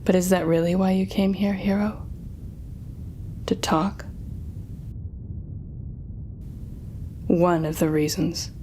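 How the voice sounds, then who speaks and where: A woman's voice: lowish voice, steady tone, mellow, slight background noise, natural voice. A woman playing a sardonic, smug telepathic supervillain, taunting and theatrical as she speaks to the listener in an audio roleplay drama. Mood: wry, teasing. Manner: taunting, theatrical, drawn-out teasing phrasing.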